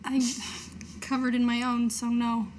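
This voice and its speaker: A woman improvising in character in a tabletop roleplaying game, hesitant and deadpan. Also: on the high side, a little slow. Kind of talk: casual in-character improvised chat. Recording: noticeable background noise.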